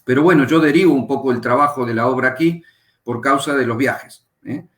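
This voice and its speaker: A middle-aged Argentine man, a pastor and Bible teacher, calmly telling his life story as a guest in an interview. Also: mid-range voice. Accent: Argentine Spanish. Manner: unhurried, reflective, pauses with fillers. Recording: echoey room.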